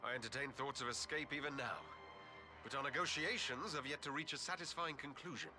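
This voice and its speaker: An actor playing a polite, earnest envoy in a video game, with courteous formal diction. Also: male voice, mid-range voice, fairly quick, over music, natural voice. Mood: earnest, grave. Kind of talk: video game cutscene acting.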